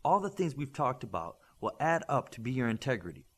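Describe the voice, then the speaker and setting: Man reading as stern male academy instructor, principled, didactic, emphatic, animated intonation, superhero audiobook. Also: mid-range voice. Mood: earnest, firm. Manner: emphatic, didactic, measured lecturing with rhetorical questions. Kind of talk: audiobook character dialogue.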